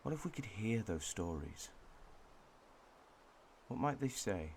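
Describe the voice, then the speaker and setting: Low-voiced, wistful Welshman, played by a man. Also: very animated, darkish tone, slight background noise, full character voice. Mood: pensive. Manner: pensive, measured, with thoughtful pauses. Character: wistful Welshman reflecting on his home village and heritage. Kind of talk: reflective radio drama monologue.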